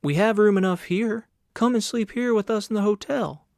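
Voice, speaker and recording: A man's voice: sits high, moderate pace, creaky, darkish tone, dry recording, studio quality, pitched above the performer's own voice. A man voicing a kindly, very fat, insistent woman who runs a hotel, coaxing in audiobook dialogue. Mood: kindly, inviting.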